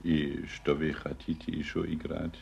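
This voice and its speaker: Очень низкий мужской голос, неуверенный русский. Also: male voice, very deep voice, flat delivery, creaky, a little mellow, lo-fi sound, a little hiss or hum. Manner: hesitant, halting non-native Russian. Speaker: foreign correspondent. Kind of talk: interview questions.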